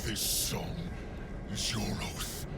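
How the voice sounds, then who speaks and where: An actor voicing a savage, contract-bound devil beast in a video game, menacing, boastful and theatrical, swinging from hiss to roar. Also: male voice, very slow. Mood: solemn chanting.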